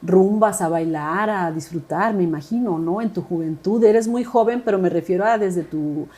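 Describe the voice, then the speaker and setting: A woman's voice: on the low side, normal speed, warm tone. A woman hosting a podcast interview. She keeps the guest talking with affirming murmurs and filler words. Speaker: podcast host.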